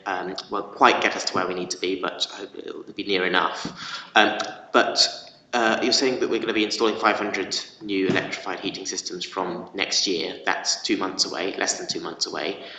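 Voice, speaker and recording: Very deep, flat man in an echoey hall. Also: recorded from a distance. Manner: questioning, with fillers. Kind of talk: asking a question at a council meeting.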